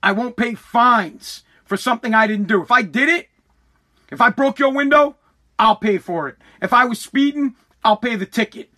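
A man's voice: high-pitched, bright, forceful tone. An American man hosting a podcast, delivering an opinionated solo rant in a combative, emphatic, repetitive and profane manner. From United States. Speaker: podcast host.

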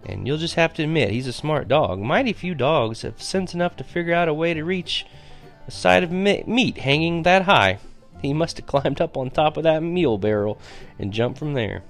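A calm country mother from a storybook, as a man plays her. She is soft, patient and folksy, coaxing but firm, with music behind. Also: on the high side, moderate pace, voice pushed up. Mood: gently reasoning.

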